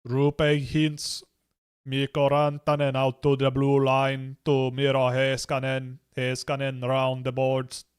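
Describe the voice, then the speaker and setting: A man's voice: medium pitch, monotone, slow pace, clear, resonant tone, lightly acted. Finnish-accented hockey announcer, clipped play-by-play, a man putting it on. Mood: calling play. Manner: clipped play-by-play calling of names and passes.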